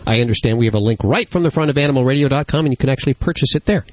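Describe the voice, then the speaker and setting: A man's voice: mid-range voice, animated. A man hosting a pet radio show, introducing the team, reading sponsor spots and taking calls. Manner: upbeat, quick, fills gaps with uh. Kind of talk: radio host patter and ad reads. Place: radio studio. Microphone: studio microphone.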